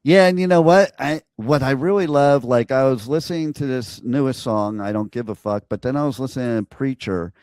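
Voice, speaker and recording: A man hosting a radio interview talks eagerly in quick run-on bursts. His voice sits at a middle pitch and the recording sounds dry, close and free of room echo. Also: darkish tone, smooth. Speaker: radio show host. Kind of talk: casual interview chat.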